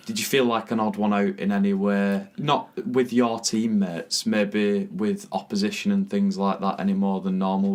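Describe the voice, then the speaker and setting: A man's voice: on the low side, a little mellow. A young man hosting a podcast interview, friendly and agreeable, asking loose questions and often answering with short replies. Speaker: podcast host. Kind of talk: casual interview.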